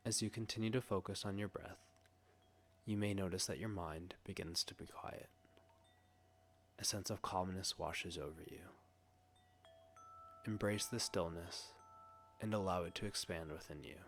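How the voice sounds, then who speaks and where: A man leading a scripted guided meditation, speaking gently and soothingly in a hushed way with long pauses. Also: deep voice, a little hiss or hum.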